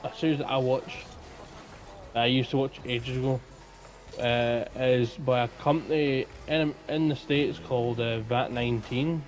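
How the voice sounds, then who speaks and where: A man's voice: medium pitch, steady tone, measured pace, low-quality recording. A man co-hosting a podcast in casual, informal conversation. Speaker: podcast co-host.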